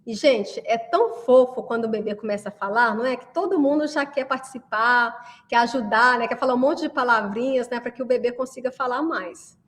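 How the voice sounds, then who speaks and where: A woman's voice: fairly high pitch, a little fast, big-room sound. A woman in her thirties giving a friendly online class, chatty and often checking in with the listener. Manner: chatty, keeps asking "né?" to the viewer. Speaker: course presenter.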